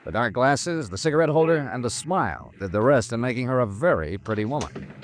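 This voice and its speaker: A man's voice: medium pitch, expressive, brisk, natural voice. An American man acting a wry, world-weary insurance investigator in a 1950s radio drama, narrating and talking in a clipped, dry, hard-boiled way. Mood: wry, brooding. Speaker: star narrator of a detective radio serial. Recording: vintage radio broadcast with faint music.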